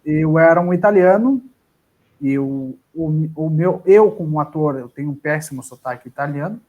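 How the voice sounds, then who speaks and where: A man's voice: on the high side, normal speed, a little mellow, muffled recording. A man, an actor, chatting casually in a live interview about his career, often restarting his sentences. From southern Brazil. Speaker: actor guest.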